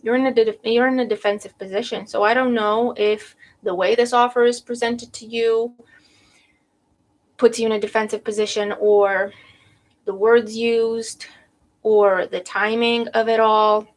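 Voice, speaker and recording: A woman's voice: a little high, fairly even intonation, measured pace. A woman giving a live tarot reading to viewers, losing her voice with a cold, thinking aloud and often checking in with "right?". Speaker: tarot reader.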